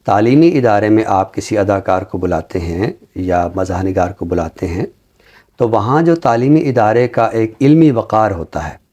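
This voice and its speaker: A man speaking as an academic guest in a discussion, formal and deliberate, pausing between phrases. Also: lowish voice, lively intonation, mellow. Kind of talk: measured studio discussion.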